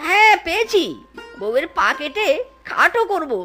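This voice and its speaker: Casting: whiny, childish, scheming little husband for a cartoon, extremely high and wildly swooping. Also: female voice, sing-song, moderate pace, full character voice. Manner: exclamatory, whining, pleading. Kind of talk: animated children's cartoon dialogue. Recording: loud music behind the voice. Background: music.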